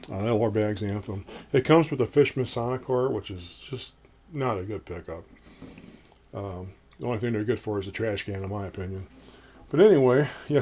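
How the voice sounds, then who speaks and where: A man in his fifties with a low voice, reviewing a guitar in casual, rambling talk full of ums, recorded in a small home studio. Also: vocal fry, darkish tone. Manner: rambling, folksy, many ums and uhs.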